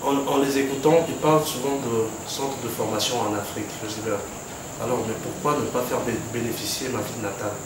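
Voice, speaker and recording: A man's voice: mid-range voice, steady tone, fairly quick. Earnest man heard from across an echoey room. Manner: earnest, with frequent fillers. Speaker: organizer of a football academy project. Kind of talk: formal speech at a meeting. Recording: very echoey room, mic far off, background noise.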